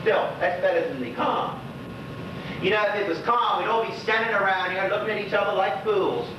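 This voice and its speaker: Man acting a high-voiced, chatty old Bermudian widower. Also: brisk, natural voice. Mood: chatty, nostalgic. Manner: rambling, exclaiming, talking to unseen listeners. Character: lonely old widower, chatty, gossipy, folksy. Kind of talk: stage monologue in dialect. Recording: very echoey stage, distant mic, noisy, dull.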